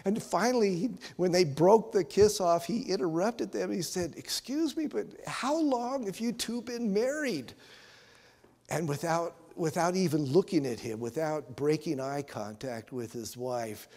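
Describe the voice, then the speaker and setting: A man in his sixties with an American accent, preaching and telling stories as a pastor, earnest and given to emphatic pauses, in a church. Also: high-pitched, expressive, darkish tone. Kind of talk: sermon with storytelling. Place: church sanctuary, at the altar. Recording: reverberant church hall.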